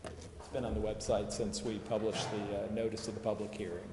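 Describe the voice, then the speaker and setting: Man, low voice, explanatory, hedging and repetitive, echoey public meeting hall, some background noise. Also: little pitch movement, fairly quick. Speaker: consultant presenting to a city council. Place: large indoor council chamber.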